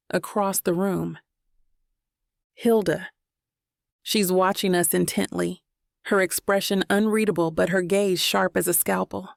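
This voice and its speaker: A woman's voice: on the low side, vocal fry, dry recording, clean studio sound. A woman reading a first-person romance audiobook as scripted narration.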